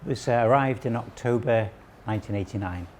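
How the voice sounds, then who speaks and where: A man around sixty, originally from Wales, calmly explaining local history and his website as an interview guest, with small restarts. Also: mid-range voice, a little fast, a little hiss or hum. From southwest Wales. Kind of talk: relaxed conversational explanation.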